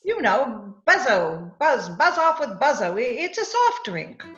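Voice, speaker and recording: Radio-play fiancee, woman actor: haughty, exasperated, theatrical, animated delivery over muffled call audio. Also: fairly high pitch, unhurried. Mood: confiding, insistent. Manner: theatrical, insistent, scolding. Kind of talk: old-time radio drama acting. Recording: dull video-call audio.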